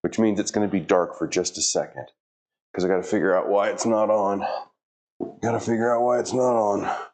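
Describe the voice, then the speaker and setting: A man's voice: on the low side, fairly even intonation, fairly quick, darkish tone. A middle-aged man talking casually to the camera in a home gym, chatty and a bit flustered as he sets up his workout. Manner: chatty, self-talking, flustered by equipment trouble. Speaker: fitness vlogger.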